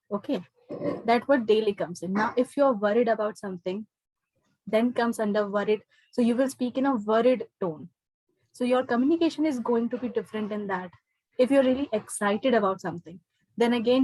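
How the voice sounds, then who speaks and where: A woman's voice: fairly high pitch, a little fast, darkish tone, no echo, rough recording. A woman with an Indian accent teaching an interactive spoken English lesson online, instructing and often checking understanding with short questions. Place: online live class.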